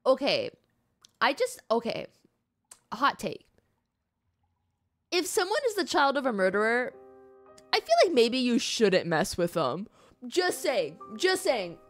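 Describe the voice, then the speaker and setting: Girl streamer reacting to a game, super expressive n jokey, voice jumps all over, bit of music behind. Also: fairly high pitch, takes it slowly, pauses often. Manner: expressive, gushing, joking. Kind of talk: casual live reaction commentary.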